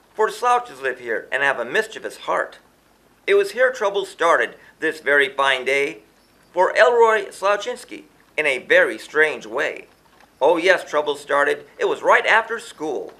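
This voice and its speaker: A man narrates a children's tale in rhyme with a bright, forceful voice set fairly high. His delivery is theatrical and sing-song, swinging widely in pitch and leaning hard on the key words. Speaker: children's book author reading their own book. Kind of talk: rhyming children's story narration, read aloud.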